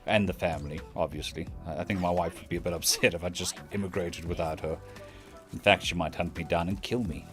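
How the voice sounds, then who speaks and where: A man's voice: deep voice, steady tone, a little fast, a bit bright, faint music behind. A man from South Africa with a South African accent tinged with British tones, chatting casually on a stream and thanking viewers by name. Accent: South African English with British tones.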